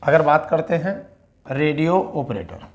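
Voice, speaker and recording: Higher-pitched man explaining to students. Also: very animated, unhurried. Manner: repeats key phrases for emphasis. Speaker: exam coaching teacher giving recruitment updates.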